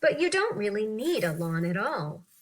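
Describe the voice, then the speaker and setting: A woman reading an interview text aloud for children in an animated, clearly phrased, teacherly way. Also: mid-range voice, moderate pace. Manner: animated, clearly phrased, acting out questions and answers.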